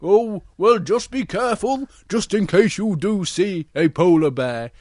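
Grown-up doing a big careful daddy-type bear for a kids story, slow and emphatic, telling off, swoopy pitch. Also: animated, a little mellow, no echo, clean studio sound. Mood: cautioning. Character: big, cautious, protective, scolding male bear.

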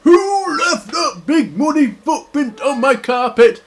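A man's voice: very high-pitched, sing-song, slow pace, background noise, pitched above the performer's own voice, exaggerated voice. A man voicing a fussy, scolding, fatherly big bear who exclaims and admonishes in a homemade children's story. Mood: indignant.

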